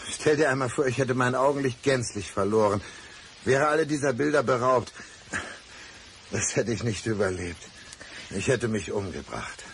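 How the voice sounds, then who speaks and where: An actor playing an obsessive, volatile man in a German radio crime drama, intense and insistent in manner. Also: low-pitched. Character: obsessive, volatile, alcohol-prone man, menacingly tender. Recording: studio radio drama with music.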